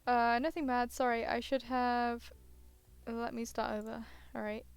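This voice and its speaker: Tired gamer girl streamer, woman w high clear voice reading a visual novel out loud, keeps butting in on herself. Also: flat delivery, unhurried, no echo. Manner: hesitant, self-interrupting asides. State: tired, yawning. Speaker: gaming streamer. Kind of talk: reading a visual novel aloud with casual commentary.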